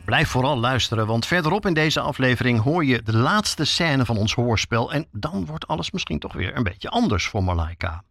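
A man's voice: mid-range voice, big pitch swings, moderate pace, hardly any pauses, a bit bright, dry recording. A man hosting a podcast as a journalist, reading scripted narration that explains things directly to listeners.

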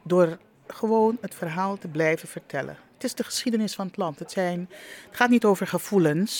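A man speaking reflectively in an interview, in a deliberate, halting manner. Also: on the high side.

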